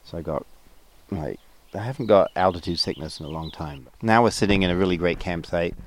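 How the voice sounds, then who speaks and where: A man with a very low voice chats about a long day on the trail in a relaxed, casual way, now and then swearing. He is worn out from hiking. He is recorded outdoors at a campsite on a clip-on mic. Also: a little fast, slight background noise. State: tired from hiking. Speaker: hiker vlogging a thru-hike. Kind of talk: casual trail diary chat. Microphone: clip-on mics.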